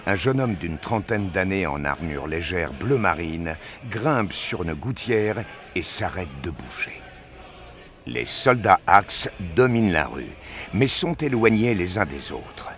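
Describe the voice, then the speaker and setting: A man's voice: sits low, very animated, slow pace, a bit bright, soft background music. A man reading scripted narration for a science fiction audio drama.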